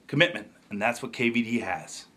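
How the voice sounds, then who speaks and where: A man hosting a show, delivering comedic news commentary in a jokey, exaggerated way with emphatic pauses and asides. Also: mid-range voice, noisy background. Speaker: fishing show host.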